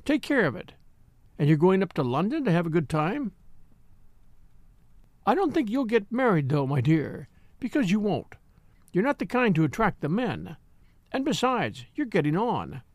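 A man's voice: high-pitched, wildly swooping pitch, moderate pace, long pauses, darkish tone, no echo, heavily acted. A man reading aloud and voicing a blunt, triumphant elderly spinster in a gossipy, quavering manner. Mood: triumphant gossip.

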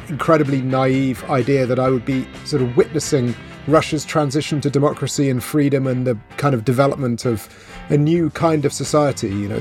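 A man's voice: medium pitch, a little mellow. Wry, reflective middle-aged man from Wales. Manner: reflective, wry storytelling with fillers. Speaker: author and journalist guest. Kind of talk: conversational interview.

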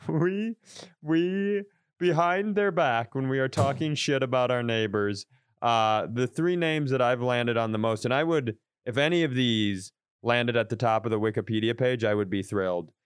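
A man's voice: medium pitch, moderate pace, smooth, no echo, clean studio sound. A man co-hosting a comedy podcast, rambling through crude jokes with many restarts. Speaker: podcast co-host. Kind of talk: casual comedic chat.

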